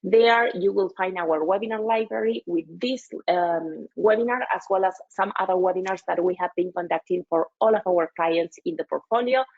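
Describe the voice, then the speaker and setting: A woman's voice: fairly low pitch, moderate pace, hardly any pauses, narrow, tinny audio. A woman hosting a webinar, speaking with many hesitations and fillers. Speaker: webinar host.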